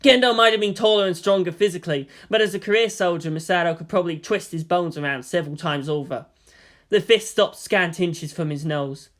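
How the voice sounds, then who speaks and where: A man's voice: high voice, a little fast, fairly bright tone. A man reading fan fiction as narrator, in a dramatic scripted storytelling style.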